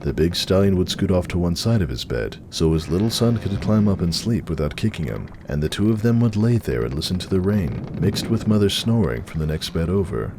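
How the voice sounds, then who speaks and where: A man's voice: low voice, very animated, a little fast, few pauses. A man narrating a story dramatically from a script. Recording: clearly noisy, storm ambience behind.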